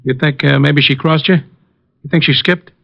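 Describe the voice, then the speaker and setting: A man's voice: a little high, steady tone, moderate pace, vocal fry. An actor playing a thuggish henchman eager for violence, talking in slangy tough-guy grammar in an old radio crime drama. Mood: insistent. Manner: slangy, ungrammatical tough talk. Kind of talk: old-time radio detective drama acting. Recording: muffled vintage radio recording.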